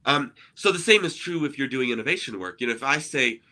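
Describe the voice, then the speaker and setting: Man, grew up in Argentina, bright clear tone, middle pitch, earnest explainer, casual podcast talk. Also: lively intonation, fast. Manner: earnest, explanatory, often checks in with "right?". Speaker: co-host, ministry innovation speaker. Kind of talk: conversational podcast discussion.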